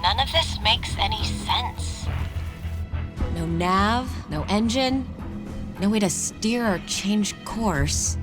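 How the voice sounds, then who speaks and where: Focused engineer, brisk technical reports, loud game music behind. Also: female voice, medium pitch, expressive. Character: capable female engineer, focused. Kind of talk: video game cutscene dialogue. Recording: game audio with loud score.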